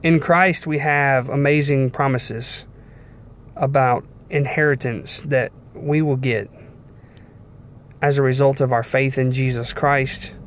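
A young man giving an informal devotional sermon in an earnest, measured way, pausing between short phrases. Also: mid-range voice. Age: young adult. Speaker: preacher giving a devotional message. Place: inside a car. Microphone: phone at arm's length. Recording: clear background noise inside a car.

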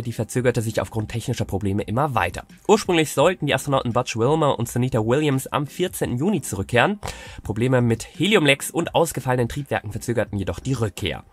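A man in his thirties with a cold, presenting space news in a clear, explanatory scripted style from a studio. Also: medium pitch. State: has a cold. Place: studio with rocket set backdrop.

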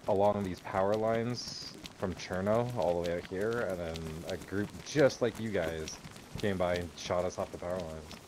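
A man's voice: low-pitched, normal speed, background noise. A man in casual game chat with friends, hesitant, often restarting his sentences and laughing. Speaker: gamer, rival clan member.

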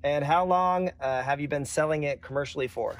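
A man's voice: on the high side, a little fast. A middle-aged man hosting a casual on-location interview outdoors on a farm. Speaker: video host interviewing a grower. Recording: outdoor noise behind the voice.

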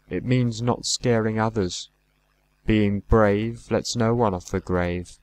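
A man reading poetry aloud in a measured, deliberate way, phrase by phrase. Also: fairly low pitch. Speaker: poetry reader. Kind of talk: poetry recitation.

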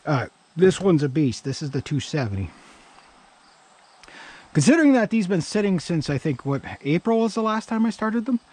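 A middle-aged man with a Canadian accent and a slightly high voice. He talks in a loose, rambling way, working through his thoughts out loud. It sounds like a casual vlog recorded outside on an action camera. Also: very animated, moderate pace. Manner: rambling, thinking aloud. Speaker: vlogger. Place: outdoors in a grassy yard by a pickup truck. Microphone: action camera worn on body or held at arm's length.

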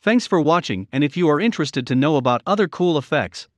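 Lively instructional man, dry voice-over. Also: fairly high pitch, dry recording. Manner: plain, measured instructional delivery. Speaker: software tutorial narrator. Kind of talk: step-by-step screen tutorial narration.

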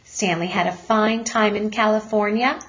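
A woman reading a children's story aloud like a teacher, deliberate and expressive. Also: mid-range voice, lo-fi sound.

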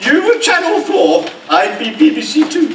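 A man's voice: extremely high pitch, wildly swooping pitch, moderate pace, noisy background, exaggerated voice. A man playing a vain, squabbling ugly stepsister as a broad comic pantomime dame. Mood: boastful. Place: large hall stage, indoors. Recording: echoey stage hall from far off.

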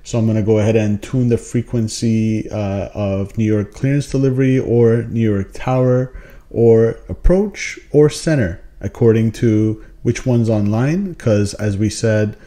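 Low, mellow-voiced man explaining casually. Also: fairly even intonation, unhurried. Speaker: tutorial host. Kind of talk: casual tutorial narration.

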